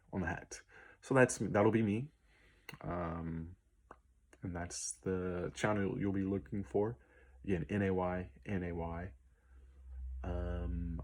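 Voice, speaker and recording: Late-20s male musician vlogger, low, slightly hoarse voice, loose and unscripted, spells out names slowly. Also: a little mellow. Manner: easygoing, rambling with many ums and spelled-out names. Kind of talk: casual Q&A vlog.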